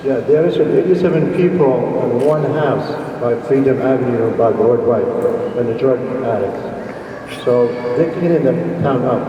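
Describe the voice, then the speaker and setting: A man acting as emcee, giving informal, halting introductions of speakers at a community event in a large hall. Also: mid-range voice, muffled recording. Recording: echoey hall from far off, crowd noise.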